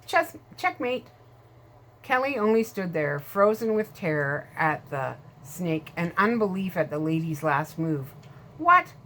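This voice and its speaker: Woman storyteller, lower register, faint room hiss; reads a chapter book aloud with light character voices. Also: expressive, a little slow, hardly any pauses.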